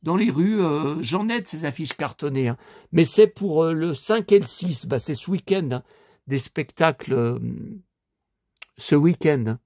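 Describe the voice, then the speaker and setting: A man's voice: a little high, unhurried, dry recording, clean studio sound. A man in his fifties, a collector vlogging casually, rambling with many fillers as he talks straight to his viewers. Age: 50s. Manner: indignant and animated when riled. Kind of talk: casual screen-share vlog.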